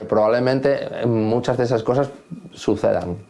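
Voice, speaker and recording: A man with a mid-pitched voice talks as a composer being interviewed. He reflects on his own work, often hesitating as he searches for the next word. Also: moderate pace, mellow. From Asturias, Spain.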